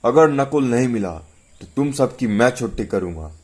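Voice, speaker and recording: A man's voice: medium pitch, lively intonation, normal speed, darkish tone, voice pushed up, natural voice. A man voicing an angry, domineering wealthy businessman father who scolds and blusters in indignation, in a narrated story. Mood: furious scolding.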